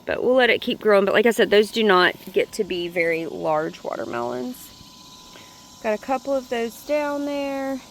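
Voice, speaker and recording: A woman vlogging a casual walk-through of her home garden, speaking in a chatty, relaxed way with a hoarse, congested voice. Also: on the high side, moderate pace, slight background noise, low-quality recording. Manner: chatty, relaxed, thinking aloud.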